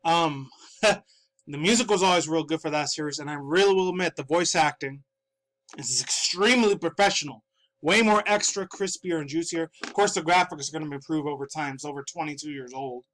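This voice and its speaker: A man's voice: a little high, a little fast, a bit bright, low-quality recording. Stuffy-nosed man in his thirties rambling profanely. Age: 30s. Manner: rambling, profane, laughing often. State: stuffy from dust. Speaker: gaming news YouTuber. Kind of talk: casual video commentary. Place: his own room.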